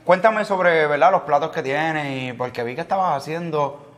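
A man's voice: fairly high pitch, normal speed. A man in his thirties with a Puerto Rican accent, hosting an upbeat promotional interview in a restaurant and speaking straight to viewers. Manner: energetic, chatty, addresses viewers directly. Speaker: tourism TV host. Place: indoors at a restaurant bar.

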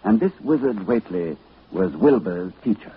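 An actor playing a grave, urgent professor narrating horror in a formal, portentous 1940s radio style. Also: male voice, fairly low pitch, lively intonation, normal speed, very noisy, natural voice. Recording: muffled 1940s radio recording.

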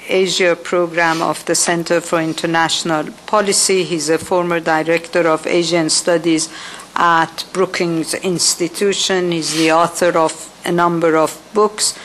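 Slow, flat-voiced woman introducing a speaker. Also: lowish voice, slight background noise, rough recording. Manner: slow, hesitant, many fillers. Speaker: event moderator. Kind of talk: formal introduction.

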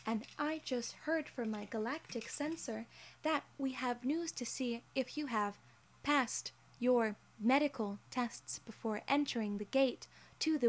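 A woman in her twenties performing an ASMR roleplay in a measured, deliberate and formally worded way, close to the mic. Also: on the high side. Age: 20s. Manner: measured, deliberate, formal phrasing as an alien gatekeeper. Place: small indoor room, seated in an armchair.

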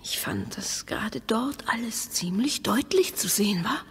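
An actress playing a wary, doubting wife in a German radio play, hesitant and sceptical, with a breathy, often whispery voice. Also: female voice, a little high, expressive, a little slow. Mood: doubtful, suspicious. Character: wary, doubting wife exploring underground with her husband. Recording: long hollow reverberation.

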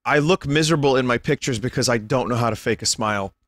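A young man, a little hoarse and tired, chatting casually and rambling to his stream viewers from home. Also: mid-range voice, fast, dry recording, studio quality. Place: home room.

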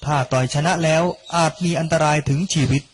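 A young man reading a scripted narration for an animated story. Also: on the high side, a little fast, a little hiss or hum. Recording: loud music under the voice. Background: loud background music.

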